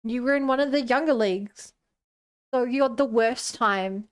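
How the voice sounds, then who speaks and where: A woman's voice: a little high, normal speed, clean tone. A woman in her twenties streaming a game, rambling and joking as she talks to her chat. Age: 20s. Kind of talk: casual gameplay commentary.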